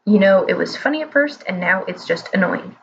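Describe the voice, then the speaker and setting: A woman's voice: mid-range voice, lively intonation, brisk, gravelly, highs cut off, slight background noise, pitched below the performer's own voice. A woman voicing a grumpy, pudgy old male wizard who grumbles and whines. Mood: grumbling. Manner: grumbling, whiny.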